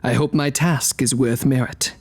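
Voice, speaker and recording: A man's voice: mid-range voice, fairly even intonation, moderate pace, close to an everyday voice. A man voicing a formal, mystical zebra shaman who speaks in rhyme in an audio drama. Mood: solemn. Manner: formal, rhyming.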